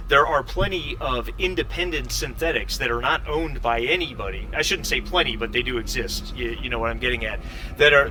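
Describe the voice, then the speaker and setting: Bright-voiced man running a tabletop game. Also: mid-range voice, slight background noise, rough recording. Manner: explanatory, self-correcting. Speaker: game master. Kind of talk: narrating and explaining a tabletop game.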